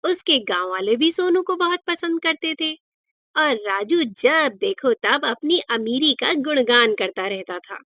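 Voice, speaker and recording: A woman narrates a children's moral tale at a very unhurried pace. Her voice is fairly high, and the recording is dry and close. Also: animated, sharp tone, no echo.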